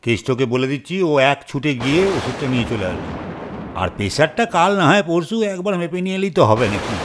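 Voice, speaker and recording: Man acting as a lazy, irritable husband, swooping pitch. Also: very animated, fairly quick, background noise. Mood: dismissive. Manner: dismissive, scolding. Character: lazy, callous, irritable husband and household master. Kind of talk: cartoon voice acting.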